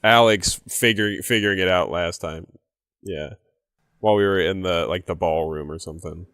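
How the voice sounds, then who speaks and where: A man's voice: deep voice, moderate pace, a bit bright, no room sound, studio quality. A man talking casually as a player in a tabletop role-playing game.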